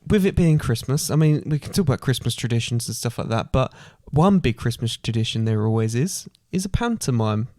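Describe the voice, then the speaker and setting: A British man with a medium-pitched voice, co-hosting a podcast in loose, casual conversation. He has a teasing, joking manner, and the recording is very dry with no room sound. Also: expressive, brisk, few pauses, a little mellow, dry recording. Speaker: podcast co-host. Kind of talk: casual chat.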